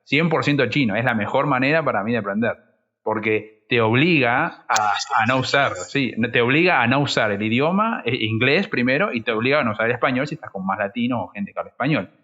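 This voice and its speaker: Bright, forceful Rioplatense man. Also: mid-range voice, expressive, brisk, muffled recording. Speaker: podcast guest sharing experience of learning Chinese. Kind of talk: casual panel discussion.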